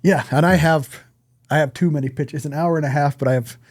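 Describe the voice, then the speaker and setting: A man's voice: a little high, steady tone, fast, darkish tone, no echo, clean studio sound. A man hosting a podcast in a casual, conversational style, speaking bluntly and swearing freely. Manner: blunt, profane. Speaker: podcast host. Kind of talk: casual chat.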